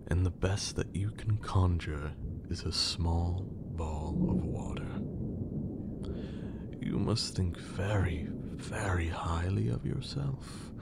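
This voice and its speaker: A man playing a haughty, menacing vampire lord in an ASMR roleplay, with condescending, theatrical speech and a breathy, creaky voice. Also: deep voice, steady tone, very noisy, exaggerated voice. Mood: indignant, scornful. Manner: slow, condescending, theatrical, archaic wording.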